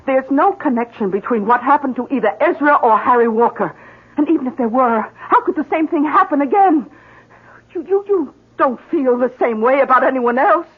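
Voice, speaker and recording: An actress playing an anxious, pleading wife in a 1970s radio drama, emotional and halting in her speech. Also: sits high. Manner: emotional, halting, breathy sighs and repeats. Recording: muffled, narrow vintage radio broadcast.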